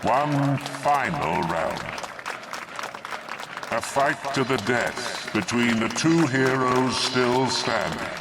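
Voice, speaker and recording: An actor playing a menacing, self-important legendary hero in a fantasy game, pompous and deliberate, with a gravelly voice. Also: male voice, medium pitch. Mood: coldly menacing. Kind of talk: ceremonial speech to an arena. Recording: heavy noise and roaring arena crowd.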